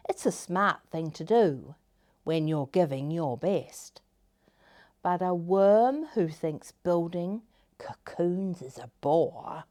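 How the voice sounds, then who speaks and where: Slow-paced woman reading a children's story. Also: fairly low pitch. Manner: gentle, expressive, deliberate phrasing for children. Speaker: storyteller, host.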